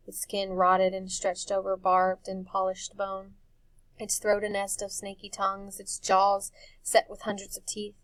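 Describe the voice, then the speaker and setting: A woman reading a dark fantasy story for children as expressive scripted narration. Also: mid-range voice, dry recording. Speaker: audiobook narrator.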